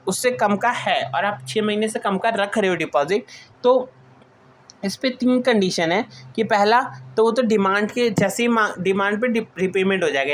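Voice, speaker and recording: A woman's voice: mid-range voice, slight background noise. A woman with an Indian accent giving an informal lecture, explaining notes point by point and restating them as she mixes in English terms. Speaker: teacher.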